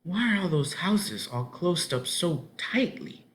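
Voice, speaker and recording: A man's voice: a little high, very animated, slow pace, pitched above the performer's own voice. A man voicing a timid, anxious young girl in a storybook, earnest and exclaiming.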